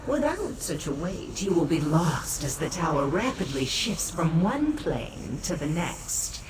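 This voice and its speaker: An actress playing a scheming, regal and sinister demon princess in a fantasy game, speaking in a measured, imperious way. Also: female voice, sits low, big pitch swings. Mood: imperious, bargaining. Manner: measured, imperious, slyly bargaining. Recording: roomy echo, distant, noisy game ambience.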